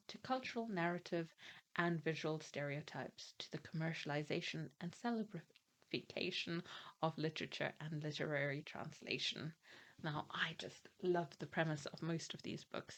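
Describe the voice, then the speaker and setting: A middle-aged woman who grew up in Malawi, Nigeria and Germany, presenting her reading plans on her book channel, reading blurbs steadily and adding chatty asides with fillers. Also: deep voice.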